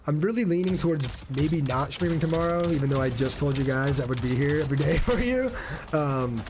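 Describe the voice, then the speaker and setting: A man's voice: a little high, noisy background. American man chatting on a game livestream. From Ohio, United States. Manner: conversational, addresses viewers directly. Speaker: live streamer playing a video game. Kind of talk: casual gameplay livestream chat.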